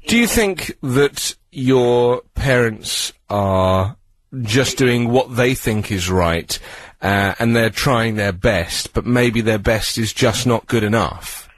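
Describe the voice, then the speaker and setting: A man with a British accent hosting a radio phone-in, giving earnest conversational advice and posing questions in a measured way. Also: medium pitch, animated, no room sound, low-quality recording. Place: radio studio.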